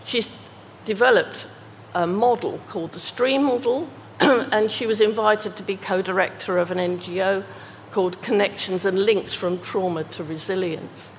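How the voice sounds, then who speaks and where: A woman giving an academic talk on research case studies, speaking in a measured way and reading quoted passages aloud. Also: medium pitch, big-room sound, a little hiss or hum. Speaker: academic presenter.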